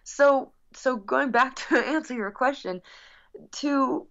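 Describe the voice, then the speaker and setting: A woman's voice: high-pitched, slow, a bit bright. A woman speaking earnestly and reflectively as a musician being interviewed, in casual conversation. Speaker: guest musician. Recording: dull, lo-fi sound.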